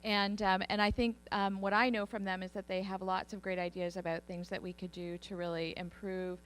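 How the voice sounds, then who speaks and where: A woman's voice: medium pitch, flat delivery, fairly quick. A middle-aged woman moderating a panel as a professor, speaking in a friendly, upbeat manner with some fillers. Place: conference hall at a college.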